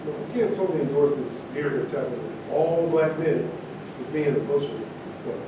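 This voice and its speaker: A man's voice: normal speed, lightly acted. A man playing a self-assured, contrary man proud of his success, in a staged reading of a play. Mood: assertive. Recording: very echoey room, far mic, noisy rumble.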